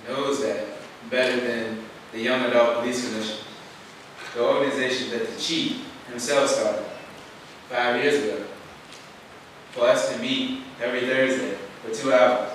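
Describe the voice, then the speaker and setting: A man's voice: medium pitch, fairly bright tone, a little hiss or hum. A young man giving an earnest, plainspoken public comment at a council meeting. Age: young adult. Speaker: youth commission member. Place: council chamber. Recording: echoey far-off chamber audio.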